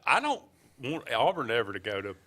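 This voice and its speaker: A man's voice: mid-range voice, huge pitch swings, brisk, pushed and bright. A man, co-hosting sports talk with short, blunt remarks, a little away from the mic. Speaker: sports talk show co-host. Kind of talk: casual sports radio chat.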